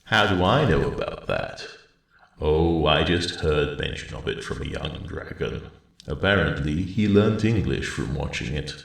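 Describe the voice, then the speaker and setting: An ancient, wise dragon speaks in an extremely deep, heavily creaking rumble. His delivery is slow, measured and gently formal, kindly and a little weary, as in an intimate ASMR roleplay, with a roomy echo around the voice. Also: expressive, full character voice. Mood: amused, curious. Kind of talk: ASMR roleplay audio drama, spoken to the listener.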